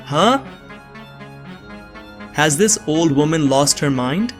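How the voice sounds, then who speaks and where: A man's voice: fairly high pitch, animated, takes it slowly, faint music behind. A young man playing a bewildered innocent framed as an assassin, narrating his own story in a scripted drama. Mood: startled, incredulous. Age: young adult. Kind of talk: first-person scripted narration with dialogue.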